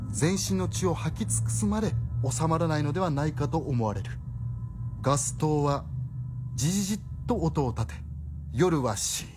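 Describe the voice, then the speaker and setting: A man narrating a radio drama as a theatrical storyteller with old-fashioned, dramatic phrasing. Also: fairly high pitch.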